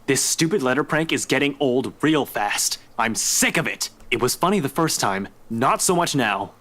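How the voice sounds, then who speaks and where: A man's voice: medium pitch, lively intonation, normal speed, a bit bright, close to an everyday voice. A man playing a stern, exasperated police officer in a visual novel game.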